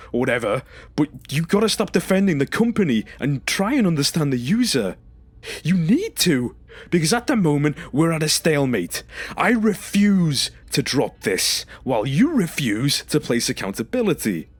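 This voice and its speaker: A man's voice: on the high side, slightly rough, dry recording. A man playing a traumatised, confrontational former stasis patient in an audio drama, speaking emphatically and demanding accountability.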